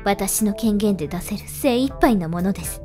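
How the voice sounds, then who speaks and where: A woman playing a stern, formal student council president in a voice drama, measured and resolute. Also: medium pitch, lively intonation, over music, natural voice. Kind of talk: amateur voice drama acting.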